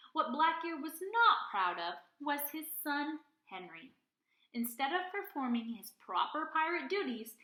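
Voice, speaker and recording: A woman teacher reading a storybook aloud to children with expressive narration, recorded in an echoey room. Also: high voice.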